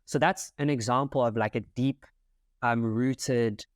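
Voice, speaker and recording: A man's voice: medium pitch, steady tone, a little slow, dry recording, clean studio sound. A young man with a South African accent, explaining his thoughts reflectively in a casual conversation and often checking in with "right?".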